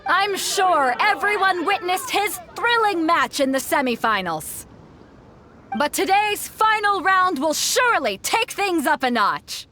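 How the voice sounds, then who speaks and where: A woman's voice: very high voice, sing-song, unhurried, pushed and bright, slight background noise, exaggerated voice. An actress playing a bold female ship captain in a video game, announcing a tournament in a commanding, showy manner.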